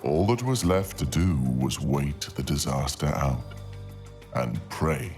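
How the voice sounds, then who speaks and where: A man narrating a scripted fantasy tale in an ASMR style, with dramatic, deliberate phrasing and long pauses. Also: very deep voice, expressive, a little mellow, soft background music. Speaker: audio storyteller, narrator.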